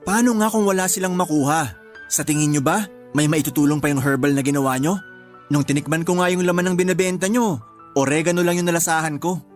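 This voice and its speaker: Young radio drama nephew, male actor: high-pitched, exasperated skeptic, cheeky and quick to protest. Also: faint music behind, close to an everyday voice. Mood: skeptical, cheeky. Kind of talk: Tagalog radio drama acting.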